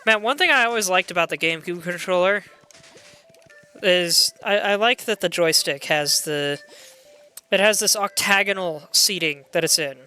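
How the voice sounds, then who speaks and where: A young adult game streamer with a loud voice, chatting casually and excitably while playing and greeting viewers. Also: medium pitch, moderate pace, smooth, soft background music. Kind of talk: casual live gameplay chat.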